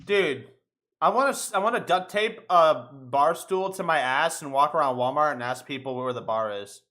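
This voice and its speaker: A man's voice: on the high side, moderate pace, bright, forceful tone. A young man co-hosting a casual podcast chat, ranting in a rambling, profane way. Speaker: podcast co-host.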